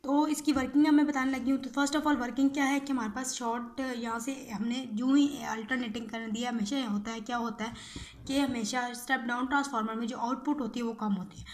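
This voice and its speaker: A young woman with a high voice explains material like a teacher in an informal lecture. She circles back over each step, posing questions and answering them herself. English technical terms are mixed into her speech. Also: fairly even intonation, a little fast. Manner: repetitive, asks and answers own questions. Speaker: physiotherapy teacher.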